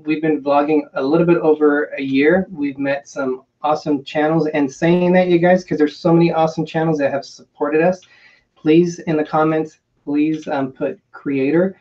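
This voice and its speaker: A man's voice: on the high side, fairly even intonation, normal speed, darkish tone. A man co-hosting a travel vlog, chatting casually on a livestream. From England, by home country; says no English accent. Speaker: co-host of a travel vlog channel. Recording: dull, slightly lo-fi livestream sound.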